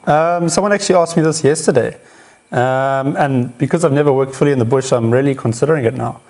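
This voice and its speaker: A man's voice: medium pitch, a little fast, a little mellow. A young man from the Cape Town area, a cameraman, answering viewer questions briefly and modestly with fillers. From South Africa, Cape Town region. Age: young adult. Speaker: cameraman, guest. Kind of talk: casual interview answers.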